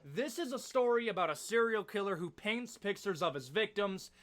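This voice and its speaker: A man's voice: high-pitched, animated, normal speed, fairly bright tone. A man giving casual, opinionated video commentary in an emphatic, slangy, conversational way.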